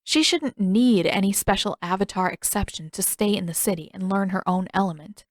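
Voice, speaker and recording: A woman narrates scripted fiction in an expressive storytelling manner. Her pitch sits in the middle for a woman. The recording is close and dry, with hardly any room sound. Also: brisk, dry recording. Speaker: audiobook narrator.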